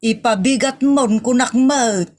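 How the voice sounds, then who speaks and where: Audio comedy: actress as meddling Ilocano aunt, fairly high voice, exasperated and scolding. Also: female voice, takes it slowly. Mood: nagging. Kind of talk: comedy audio drama acting.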